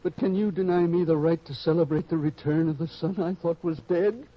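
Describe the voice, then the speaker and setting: A man playing a tender old father, husky and muffled. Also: on the high side, fairly even intonation, brisk, natural voice. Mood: tender, pleading. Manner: earnest, tender, declamatory. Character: loving old father, joyful and gently firm. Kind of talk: old-time radio drama acting. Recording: muffled old-time radio recording.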